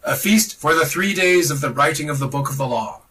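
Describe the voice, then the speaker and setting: A man reading a religious text aloud in a solemn, dramatic style, declamatory and deliberate, with emphatic pauses. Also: a little high, animated, fairly bright tone, slightly rough, far from the mic. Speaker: podcast reader of esoteric texts.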